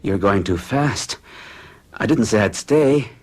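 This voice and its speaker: A troubled young man telling his story in a lowish, earnest voice. He hesitates as he recounts his growing unease. The delivery is classic radio drama. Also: expressive, takes it slowly, darkish tone, breathy edge, full character voice. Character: troubled young American man, hero in love and afraid. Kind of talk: 1970s radio drama acting.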